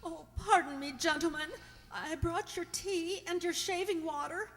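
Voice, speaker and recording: A woman's voice: very high voice, normal speed. A woman playing a sad, deferential young English inn maid in an old-time radio drama, with a plaintive manner. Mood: meek. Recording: hall-like long reverb.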